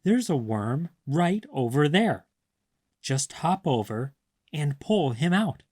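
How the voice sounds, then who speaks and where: A man's voice: fairly high pitch, very animated, takes it slowly, darkish tone, no echo, studio quality, voice pushed up, heavily acted. A man voicing a friendly, cheerful worm who encourages a small bird. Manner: helpful, encouraging.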